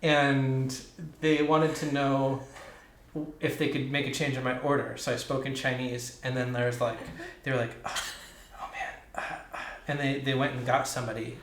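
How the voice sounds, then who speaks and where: A man's voice: medium pitch, flat delivery, normal speed, a bit bright, roomy sound, a little hiss or hum. A man casually telling a funny story about a language mix-up, laughing as he goes. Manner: laughs while telling stories.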